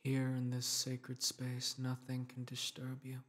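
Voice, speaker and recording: Guy doing a solemn dream king for a sleep meditation, soft soothing voice, super slow, almost flat, gently protective. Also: mid-range voice, natural voice. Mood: soothing, gentle. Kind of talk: guided meditation, sleep-aid roleplay.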